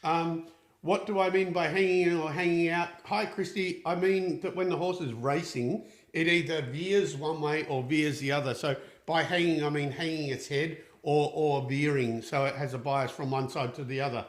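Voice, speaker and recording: A chatty man with a fairly high voice hosts a relaxed live Q&A, upbeat and full of fillers and restarts. The sound rings with the echo of a hard room. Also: normal speed. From Austria. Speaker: equine therapist and show host. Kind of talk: casual live Q&A chat. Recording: echoey room.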